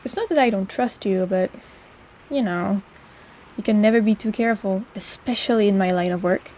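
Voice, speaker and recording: A woman's voice: medium pitch, moderate pace, a little mellow, a little hiss or hum, lightly acted, poor audio. A woman playing a sarcastic but caring apothecary in an intimate personal-attention audio roleplay, with a playful, chiding manner. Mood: friendly, teasing.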